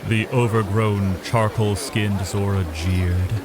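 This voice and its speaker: Low-voiced, dramatic male fantasy narrator. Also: steady tone, slow pace, crowd noise. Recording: noisy ambience behind the voice.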